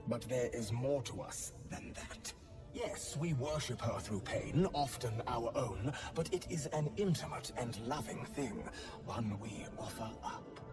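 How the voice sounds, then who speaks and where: An actor playing a serene, sinister priest devoted to pain in a video game, measured, unctuous and softly intense. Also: male voice, medium pitch, lively intonation, distant mic, music in the background, lightly acted. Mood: reverent.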